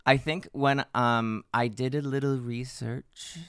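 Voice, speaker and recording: A hoarse man co-hosting a casual podcast, joking and rambling in a self-mocking way. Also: medium pitch, steady tone, takes it slowly, fairly bright tone, no room sound, studio quality. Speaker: podcast co-host. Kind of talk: casual chat.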